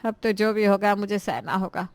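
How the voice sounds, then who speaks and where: A fretful mother of grown children in a cartoon family drama, played by a woman. Her middle-pitched voice sounds resigned and conciliatory. The recording is very dry. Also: lively intonation, unhurried, a little mellow, dry recording. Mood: worried, resigned. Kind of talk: Hindi moral-story cartoon voice acting.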